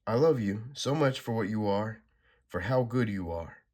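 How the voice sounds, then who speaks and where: A man's voice: fairly low pitch, animated, moderate pace. A man reading a comic aloud, voicing a fierce, selfless young superheroine in a strained, raised voice. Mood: tender. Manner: raised, strained, shouting delivery.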